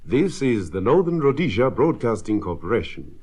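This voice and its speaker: A man's voice: medium pitch, big pitch swings, moderate pace, noisy background. A man giving a formal scripted station identification as a radio announcer, on a restored 1960s shortwave recording.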